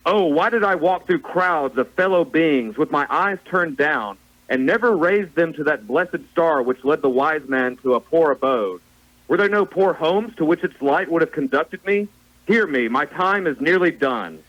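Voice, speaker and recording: A man's voice: fairly high pitch, lively intonation, normal speed, fairly bright tone, dull audio. A man playing the mournful ghost of a dead business partner in a radio play, solemn and declamatory. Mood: anguished regret, then solemn warning. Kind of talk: theatrical radio play acting.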